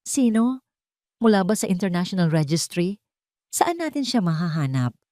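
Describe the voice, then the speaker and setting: A woman's voice: lowish voice, very animated, moderate pace, dark tone, dry recording, studio quality. A woman voicing a proud, anguished younger man in an audio love story, speaking intensely and emphatically. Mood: urgent.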